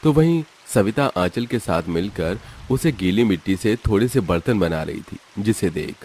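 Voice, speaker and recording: Male narrator for a scripted tale, deep register, storytelling delivery. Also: animated, normal speed, darkish tone.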